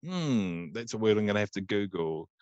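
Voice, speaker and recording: A man's voice: on the low side, sing-song, moderate pace, darkish tone, clean tone, no echo, lo-fi sound. A man in his thirties hosting a casual podcast, joking crudely and facetiously. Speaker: podcast host.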